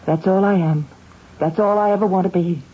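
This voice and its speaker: An actress playing a discontented, materialistic professor's wife in a radio drama, with a theatrical, sardonic and cutting delivery. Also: female voice, fairly low pitch, fairly quick, breathy edge. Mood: defiant, yearning. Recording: dull lo-fi old radio broadcast.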